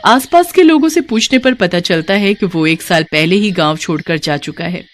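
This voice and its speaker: A woman's voice: lowish voice, lively intonation, moderate pace, a little hiss or hum. A woman narrating a scripted moral bedtime story as a storyteller.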